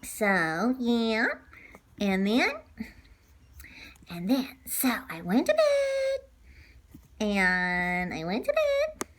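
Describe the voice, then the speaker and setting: Woman playing an excitable, high-pitched little boy. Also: a little hiss or hum, heavily acted. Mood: hushed suspense. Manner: exclamatory, dramatic, drawn-out words. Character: excitable young boy wary of an alligator. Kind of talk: children's storybook read-aloud.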